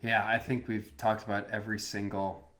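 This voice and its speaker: A man in his thirties co-hosting a podcast, telling lively stories and laughing easily. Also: on the low side, moderate pace. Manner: animated storyteller, laughs easily, restarts sentences. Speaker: podcast co-host. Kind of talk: casual chat.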